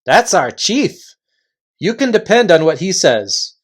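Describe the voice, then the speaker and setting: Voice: mid-range voice, sing-song, a little slow. A storybook reader voicing fawning invisible followers who eagerly parrot and repeat their approval with emphasis. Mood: eager agreement.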